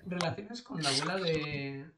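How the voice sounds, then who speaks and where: A young man co-hosts a casual video-call chat in a slightly high voice. He is emphatic and often repeats himself. He sounds away from the mic, amid a loud crowd of other voices. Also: measured pace. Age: young adult. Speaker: podcast co-host. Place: indoor room, video call. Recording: distant mic, loud overlapping group voices.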